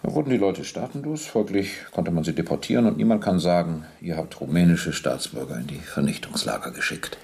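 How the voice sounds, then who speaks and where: A male police interrogator with a very low voice and plenty of gravel. He is controlled and persistent, speaking precisely and probing point by point in a documentary-style radio play. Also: lively intonation, brisk, hardly any pauses, darkish tone.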